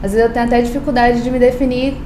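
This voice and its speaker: A woman's voice: fairly high pitch, little pitch movement, racing, reverberant, background noise. A woman from Brazil, a lawyer and law professor, telling her story earnestly in a podcast interview, with frequent hesitations. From Brazil, born in Paraíba, raised in Brasília.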